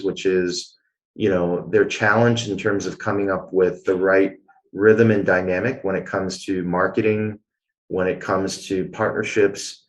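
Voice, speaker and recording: A man's voice: sits low, rough recording. A man from Canada, a guest answering interview questions in an explanatory way, with many filler sounds. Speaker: guest, blockchain executive.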